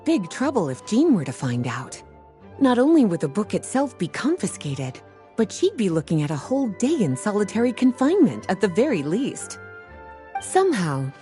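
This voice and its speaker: A woman playing a poised, knowing and gently teasing young librarian of magic in a video game. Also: medium pitch, close to an everyday voice. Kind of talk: video game character acting.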